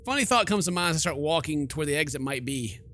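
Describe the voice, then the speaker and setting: A man's voice: on the high side, expressive, fast, fairly bright tone. A man hosting a let's play, reading the game's narration theatrically and breaking into slangy, joking asides. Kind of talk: reading game narration aloud with joking commentary. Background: game music.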